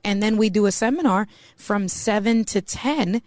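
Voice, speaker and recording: A woman's voice: medium pitch, moderate pace, darkish tone, dry recording. Chatty woman with a dry, close sound. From East Coast of the US. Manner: chatty, many fillers like "you know". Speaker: podcast guest, mortgage banker. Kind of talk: casual podcast conversation.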